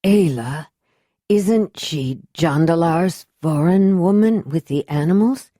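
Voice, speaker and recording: Woman voicing a raspy, whispering sick mother. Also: fairly low pitch, mellow, dry recording, clean studio sound. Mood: weak. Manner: faint, half-whispered, slow. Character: sick bedridden older mother, weak and hesitant. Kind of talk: audiobook character voice.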